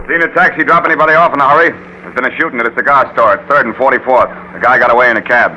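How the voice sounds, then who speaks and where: Rapid-fire, hard-edged American police sergeant. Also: male voice, medium pitch, pushed and bright. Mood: urgent. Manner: clipped, slangy, commanding. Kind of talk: old-time radio drama acting. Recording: dull, noisy vintage radio recording.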